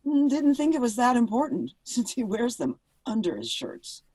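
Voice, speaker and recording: A woman's voice: on the high side, big pitch swings, moderate pace, warm tone, slightly rough, no echo. A woman acting in a radio play as an exasperated, blunt wife and mother with a curt, pointed manner. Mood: dry, defensive.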